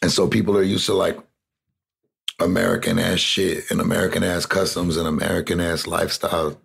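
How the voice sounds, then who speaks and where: Gravelly low-voiced man from South Texas. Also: level tone, brisk, a bit bright. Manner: rambling, heavy "like" fillers, swearing. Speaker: interview guest, rapper. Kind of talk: casual interview talk.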